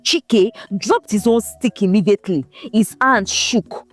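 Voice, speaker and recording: A woman narrating a folktale in a scripted, expressive, dramatic storytelling manner. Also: medium pitch, measured pace, soft background music.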